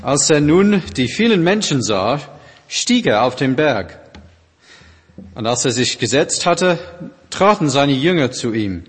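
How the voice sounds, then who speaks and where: A man reads scripture slowly and deliberately, each phrase solemn and measured. His voice has a husky edge. A long hall reverb lingers behind every line. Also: medium pitch. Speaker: scripture reader. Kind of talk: solemn Bible reading.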